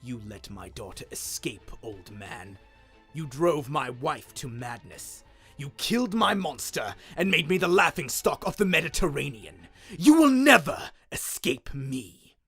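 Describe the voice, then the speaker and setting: A man's voice: a little high, very animated, a little slow, sharp tone, slightly rough, faint music behind, full character voice. A man voicing a cruel, mocking king, gloating and vengeful, with a taunting, menacing delivery.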